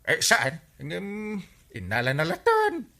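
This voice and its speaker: A man playing a genial, excitable village captain with an Ilocano accent in a radio comedy drama, full of exclamations. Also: on the high side, slow pace, gravelly, full character voice. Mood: defensive.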